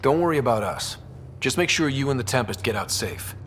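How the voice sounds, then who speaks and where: An actor playing a wry, decisive human explorer and leader in a video game. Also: male voice, medium pitch, animated, fairly quick, a bit bright, in a large hall, slight background noise, close to an everyday voice. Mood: wry, determined. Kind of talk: dramatic video game dialogue.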